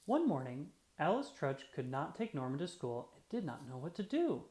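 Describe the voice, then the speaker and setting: A storyteller reading a children's picture book aloud with deliberate, expressive phrasing for young listeners. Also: mid-range voice. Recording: roomy, echoey sound.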